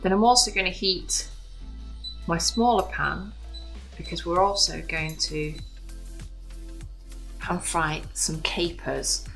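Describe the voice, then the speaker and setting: A woman in her thirties presents a home cooking demonstration in a casual, instructional way. Her voice sits a little lower than most women's. Music plays clearly behind her, along with the sizzle of frying in the kitchen. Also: slow pace, pauses often, a bit bright. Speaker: home cooking show host. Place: modern home kitchen, indoors. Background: background music, frying sizzle.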